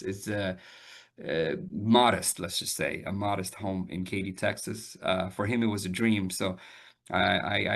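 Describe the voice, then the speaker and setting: A man in his forties, born and raised in Albania, telling his story in a relaxed interview with lots of fillers and restarts. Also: on the low side. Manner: talks with frequent fillers and repeated starts, laughs now and then. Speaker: realtor guest.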